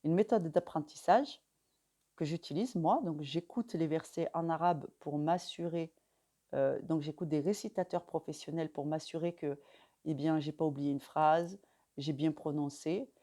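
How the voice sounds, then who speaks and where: Calm French-speaking woman teaching. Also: sits low. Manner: calm, measured, pausing often with fillers. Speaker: religious teacher. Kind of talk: instructional talk to camera.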